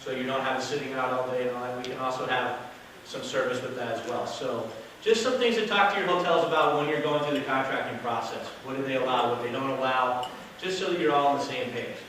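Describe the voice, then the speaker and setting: Loud presenter in a very echoey room. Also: male voice, medium pitch, quick pace, hardly any pauses. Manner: loud projecting voice, no microphone; casual, chatty, with fillers. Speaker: hotel sales director. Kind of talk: workshop presentation to a live audience. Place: meeting room with an audience. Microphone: distant camera, no microphone. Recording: very echoey room from far off with noise.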